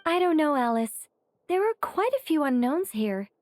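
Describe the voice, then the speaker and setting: High-voiced, measured, wry angel. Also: female voice, big pitch swings, darkish tone, slight background noise. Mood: reluctant. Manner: measured, matter-of-fact. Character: cautious, wry female angel, matter-of-fact. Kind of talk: animated game dialogue.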